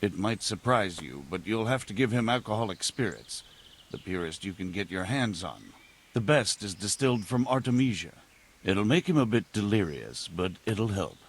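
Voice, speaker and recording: An actor playing a grave, pious medieval healer monk in a video game, measured and solemn. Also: male voice, on the low side, animated. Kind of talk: video game cutscene dialogue. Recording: faint ambient noise.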